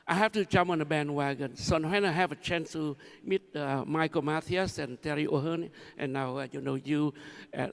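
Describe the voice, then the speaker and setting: A man of seventy with a Vietnamese accent gives an informal conference speech full of personal stories. His voice is fairly high and gravelly, and his phrasing is halting and repetitive. It rings in a large echoey hall. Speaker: conference speaker, new board member.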